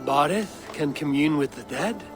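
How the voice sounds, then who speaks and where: Calm, blunt Viking warrior, highish voice. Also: male voice, measured pace, music in the background. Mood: steady, blunt. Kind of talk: video game dramatic dialogue.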